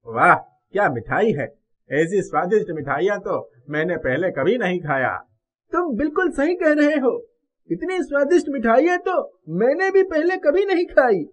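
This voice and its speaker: A man's voice: very high voice, very animated, moderate pace. A man voicing an enthusiastic, fussy customer at a food stall in a moral story cartoon. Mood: delighted. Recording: dull muffled audio.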